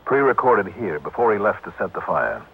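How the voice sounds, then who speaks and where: An actor playing a brisk, sharp, self-assured American insurance investigator in vintage radio drama style. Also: male voice, fairly low pitch, big pitch swings, creaky, slightly rough, exaggerated voice. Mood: confident, explanatory. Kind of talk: 1960s American radio drama acting and first-person narration. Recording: tinny, noisy old radio broadcast.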